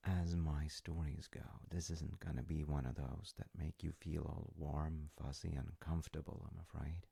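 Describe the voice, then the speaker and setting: Guy playing a wise gentle dad telling a story, soft mellow husky voice, super close and dry, pauses a lot. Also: flat delivery, no room sound, heavily acted. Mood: soft, earnest storytelling. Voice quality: very deep, gravelly, breathy. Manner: tender, hesitant, pausing between short phrases. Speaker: audio roleplay performer. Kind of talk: intimate audio roleplay, improvised-sounding storytelling.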